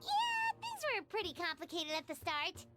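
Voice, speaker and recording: A woman's voice: very high voice, huge pitch swings, moderate pace, full character voice. A woman voicing a tiny, chatty, childlike fairy companion who talks in a hesitant way. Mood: curious. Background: game music.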